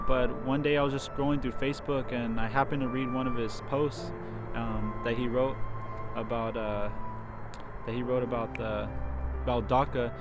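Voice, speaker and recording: A twenty-year-old man volunteering at a youth media group, speaking hesitantly with restarts in an interview. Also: mid-range voice. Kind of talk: interview answers and reading a personal story. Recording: outdoor traffic noise behind.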